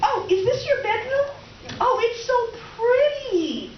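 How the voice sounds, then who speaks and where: High-pitched needy female houseguest played by a woman, dramatic rambler, swooping delivery, roomy stage recording. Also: sing-song, slow, exaggerated voice. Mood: gushing. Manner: gushing, rambling, dramatic, oblivious. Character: vivacious, needy, chattering middle-aged houseguest woman, an emotional mess. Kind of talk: comic stage readers theater. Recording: roomy, distant, dull, noisy stage recording.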